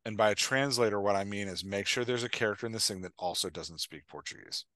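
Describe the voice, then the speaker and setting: A man in his thirties co-hosting a podcast over a video call on a headset mic, speaking emphatically and then dropping quiet. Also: on the low side, fast talker, no room sound. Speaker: podcast co-host. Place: home room.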